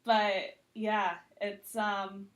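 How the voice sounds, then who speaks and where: A woman chats as a guest critic on a podcast. Her voice sits at a middle pitch, and she gives opinions in an informal, conversational way. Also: little pitch movement, very slow. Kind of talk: casual podcast chat.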